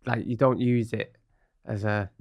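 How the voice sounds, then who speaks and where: A man's voice: lowish voice, fairly even intonation, unhurried, creaky, darkish tone, dry recording, studio quality. A man co-hosting a podcast, chatting in a blunt, teasing way. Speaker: podcast co-host. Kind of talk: casual chat.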